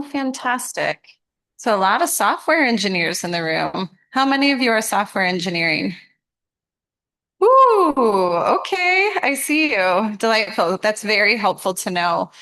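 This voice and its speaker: A woman's voice: mid-range voice, very animated, measured pace, a bit bright. A woman presenting a program to students, interactive and encouraging, often affirming what they say.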